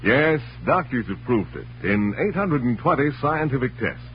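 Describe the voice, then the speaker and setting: A man's voice: mid-range voice, big pitch swings, normal speed. A man with an American accent announcing in a polished, emphatic 1940s scripted radio style. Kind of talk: 1940s scripted radio narration and sponsor pitch. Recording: muffled, noisy old radio recording with hum.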